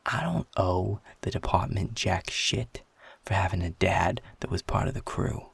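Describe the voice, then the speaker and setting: A man playing a guarded, sarcastic, defiant werewolf in an intimate audio roleplay, talking bluntly with a husky, gravelly voice. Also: deep voice, animated, a little slow, fairly bright tone, no echo, exaggerated voice. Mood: angry, blunt. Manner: sardonic, blunt, swearing, confrontational.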